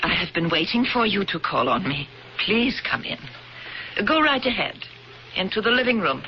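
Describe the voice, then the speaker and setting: An actress in a 1970s radio drama playing a grim, determined woman avenging her family, deliberate and bitterly sardonic in formal wording. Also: mid-range voice, sing-song. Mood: brisk, controlled. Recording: dull, noisy old radio broadcast.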